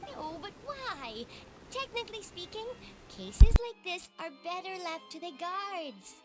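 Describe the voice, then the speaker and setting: A woman's voice: extremely high pitch, sing-song, measured pace, loud music behind the voice, exaggerated voice. A woman voicing a dutiful, flustered small fey court clerk in a game. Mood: fretful. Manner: polite, flustered. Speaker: court assistant.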